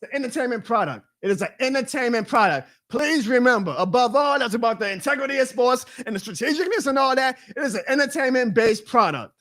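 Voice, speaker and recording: A man's voice: very high-pitched, animated, fast talker, few pauses, fairly bright tone. A man in his thirties hosting a live sports talk stream, ranting with energy and laughing often. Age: 30s. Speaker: show host.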